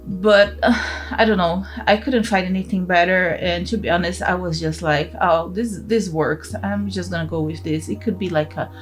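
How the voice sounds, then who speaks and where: A woman's voice: lowish voice, fairly even intonation, normal speed, faint music behind. A young woman from Brazil, a native Portuguese speaker, talking in English with a Brazilian accent in a casual, laughing commentary over her game build. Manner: chatty, laughs often, restarts words. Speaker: gaming channel host.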